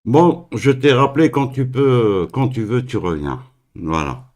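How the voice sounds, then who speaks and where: A man from Algeria hosting a live call-in stream, talking in a halting way with many fillers and restarts. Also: medium pitch, a little mellow.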